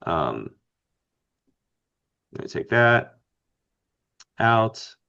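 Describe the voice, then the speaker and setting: A man co-hosting a casual fantasy game podcast, slightly raspy from being under the weather, rambling and thinking aloud with lots of fillers. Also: on the low side, big pitch swings, very slow, pushed and bright, dull audio. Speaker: podcast co-host.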